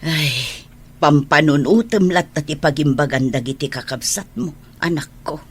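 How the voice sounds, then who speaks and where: A woman with an Ilocano accent playing a worried older mother in a radio drama, husky-voiced. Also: low voice, normal speed. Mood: worried, pleading.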